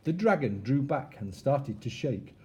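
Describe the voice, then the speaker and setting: A man reading a rhyming children's story aloud like a teacher, expressive and measured with dramatic pauses. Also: mid-range voice, husky.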